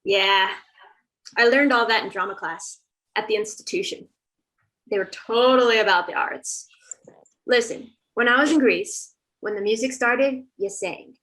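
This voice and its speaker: A woman plays an eager, bossy chorus girl in a stage musical read-through. The voice sits fairly high and pushes the others along. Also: a little slow, fairly bright tone, rough recording. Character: bossy, eager female Greek chorus singer. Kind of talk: amateur musical table read.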